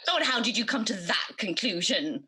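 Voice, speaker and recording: A woman's voice: medium pitch, animated, brisk, bright, forceful tone, slightly rough, full character voice. A woman playing a good, easily indignant champion of virtue in a radio pantomime, theatrical and earnest. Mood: flustered.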